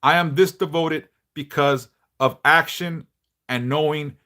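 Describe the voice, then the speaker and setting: A middle-aged man a big bass voice, chatting bluntly and passionately on a livestream, swearing freely. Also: a little slow, bright, forceful tone. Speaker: livestream host, devotional teacher. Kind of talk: casual livestream chat.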